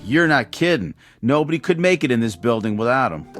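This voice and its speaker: A chummy teen elevator boy in a tv drama, bouncy highish voice, slangy and polite, bit of music under it. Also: very animated, brisk, a bit bright. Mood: chatty, eager. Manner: colloquial, eager, deferential working lad. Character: friendly, easygoing nineteen-year-old male elevator operator. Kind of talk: television drama acting.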